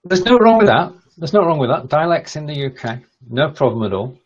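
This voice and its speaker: A man's voice: on the high side, very animated, fairly quick. A man co-hosting a casual language lesson as the learner, hesitant and stumbling over foreign words. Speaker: co-host and German learner. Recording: dull lo-fi audio.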